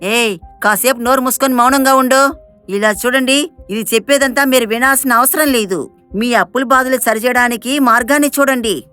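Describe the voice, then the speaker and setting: A woman plays a harsh, scheming wife and aunt from an animated moral tale. Her voice is high and her manner scolding and domineering, over clear music. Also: moderate pace. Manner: scolding, bossy.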